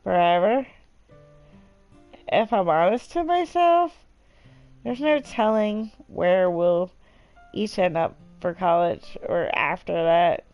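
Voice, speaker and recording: A woman's voice: fairly low pitch, expressive, slow, pauses often, dry recording, muffled recording, faint music behind, voice pushed down. A woman voicing a caring, bashful teenage best friend in a visual novel read-aloud, hesitant and understated. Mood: hesitant, hopeful.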